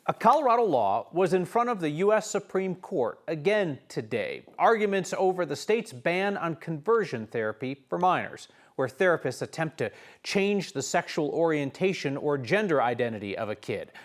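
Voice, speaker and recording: A man's voice: a little high, sing-song, normal speed. An American man anchoring a newscast with scripted news narration.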